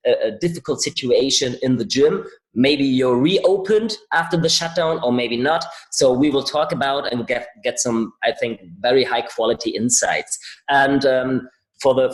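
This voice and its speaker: A man's voice: medium pitch, reverberant, rough recording. A man with a German accent, a non-native English speaker hosting an interview, hesitant with frequent restarts. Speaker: podcast host.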